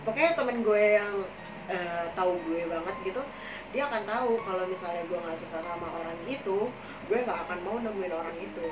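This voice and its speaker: A hoarse young woman talks casually and frankly, wandering through her story with plenty of fillers. She sits some distance from the mic, so her voice comes back off the walls of an echoey room. A steady noise runs underneath her words. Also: fairly low pitch, a little fast. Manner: rambling, full of fillers, chatty and frank. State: hoarse, coughing. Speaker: podcast co-host telling her own experiences. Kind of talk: casual chat in Jakarta slang.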